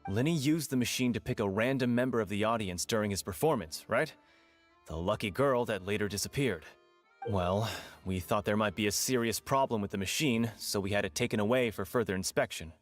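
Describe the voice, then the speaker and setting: A man's voice: lowish voice, fairly quick, lightly acted. A man voicing an opinionated, matter-of-fact investigator in a video game. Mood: explanatory. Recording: faint music behind the voice.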